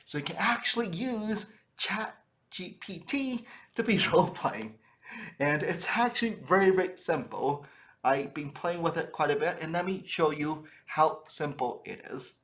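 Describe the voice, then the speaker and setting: A man's voice: on the high side, a little slow, fairly bright tone. A man giving an informal instructional talk as a trainer, conversational and often checking in with a tagged-on "right?". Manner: conversational, frequently tags phrases with "right?". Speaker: sales trainer for funeral businesses.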